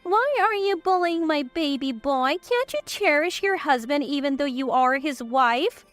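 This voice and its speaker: Female narrator as imperious older mother-in-law, scolding, high and swooping, audio story. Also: animated, measured pace, fairly bright tone, faint music behind. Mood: reproachful. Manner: imperious, reproachful. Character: haughty, scolding older mother-in-law, doting on her son.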